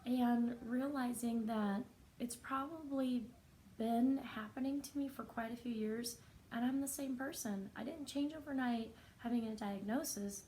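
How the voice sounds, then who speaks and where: A woman with a somewhat high but soft and raspy voice talks straight to camera in a personal vlog. She is earnest and reflective, pausing often. A faint hum of ambience sits behind her. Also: low-quality recording. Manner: earnest, reflective, unhurried with pauses. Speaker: vlogger.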